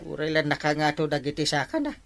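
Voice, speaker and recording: A man's voice: fairly high pitch, sing-song, fast talker, fairly bright tone. Man acting an exasperated, wildly swooping village captain. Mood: busy. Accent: Ilocano. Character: exasperated male village captain keeping order. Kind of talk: broad barangay comedy acting.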